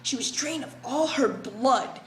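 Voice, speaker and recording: Male actor as nervous young canvasser woman, high, animated voice, roomy sound, comic dub. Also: a little slow, slight background noise. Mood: curious.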